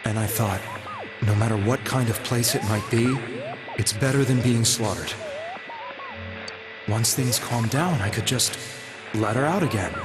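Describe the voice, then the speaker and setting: An actor playing an earnest, guilt-ridden delivery man in a video game, speaking in a halting, solemn, confessional way. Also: medium pitch, close to an everyday voice. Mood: somber, reflective. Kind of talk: dramatic video game voice acting. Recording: music behind the voice.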